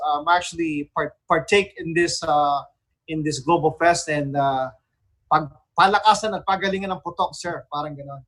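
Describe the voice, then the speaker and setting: A man's voice: a little high, a bit bright, low-quality recording. A man with a Filipino accent chatting casually as the host of a radio talk show.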